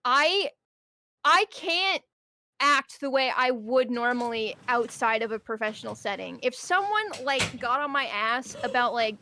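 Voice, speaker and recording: A woman's voice: a little high, lively intonation, moderate pace, hardly any pauses, sharp tone, no echo. A woman telling stories casually and dramatically, swearing freely and acting out exchanges as she vents on a livestream. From Puerto Rican heritage. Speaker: guest on a livestream. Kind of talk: casual venting, animated storytelling.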